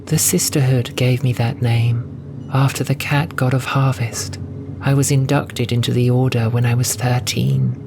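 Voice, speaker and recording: A man voicing a gentle young female cat nun in an audio drama, soft and wistful, with earnest storytelling. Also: medium pitch, flat delivery, moderate pace, gravelly, background noise, pitched above the performer's own voice, lightly acted. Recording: music bed under voice. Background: music.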